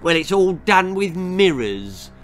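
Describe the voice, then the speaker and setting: A man's voice: fairly high pitch, lively intonation, slow, bright, forceful tone, faint music behind, full character voice. A man voicing a nasty, mocking older sister in a storybook reading. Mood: snotty. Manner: snide and mocking.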